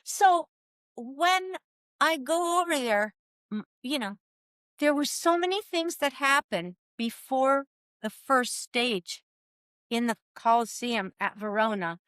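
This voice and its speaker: A woman in her sixties from California, a former cycling soigneur telling animated stories in an interview and acting out the dialogue. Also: high-pitched, takes it slowly, clean tone, dry recording. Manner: lively, acts out quoted dialogue.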